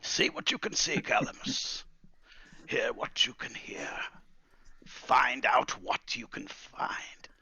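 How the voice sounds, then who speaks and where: A man voicing an old wizard, brooding and theatrical, with drawn-out lines in a tabletop fantasy game. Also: mid-range voice, very animated, gravelly, pushed and bright, slightly rough, dry recording, in a whisper, voice pushed up, full character voice. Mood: scheming, gentle. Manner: theatrical, drawn-out, swinging between cries and hisses. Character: old bearded wizard, grieving, brooding, quietly menacing.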